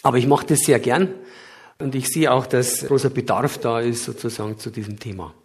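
A man's voice: medium pitch, steady tone, a little fast, darkish tone. A middle-aged man with a light Bavarian accent giving a public lecture, explaining earnestly with occasional hesitations. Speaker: guest lecturer.

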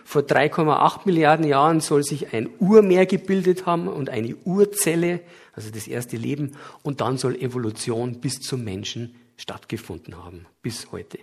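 Middle-aged guy w a bavarian lilt, kinda husky, earnest lecture voice, stops and starts a bit. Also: mid-range voice, normal speed. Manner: earnest, explanatory, with occasional hesitations. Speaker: guest lecturer. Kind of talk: public lecture.

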